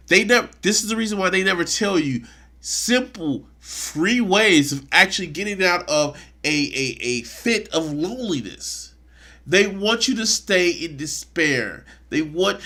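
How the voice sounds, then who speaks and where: A man's voice: sits high, pushed and bright. A man streaming a reaction video, talking with indignant, profane sarcasm. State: congested. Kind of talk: casual reaction commentary.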